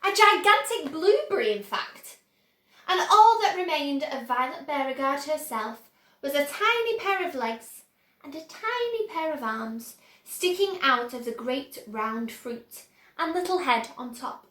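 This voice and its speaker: A woman reading a children's book aloud, animated and voicing the characters. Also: high-pitched, unhurried. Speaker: storyteller. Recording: roomy echo.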